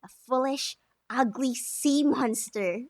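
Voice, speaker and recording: A woman's voice: sits high, darkish tone, no echo. A woman voicing a haughty, cruel mermaid with a sneering, condescending manner for a visual novel dub. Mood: contemptuous. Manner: sneering, condescending, drawn-out taunting. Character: haughty, cruel mocking mermaid who prides herself on beauty.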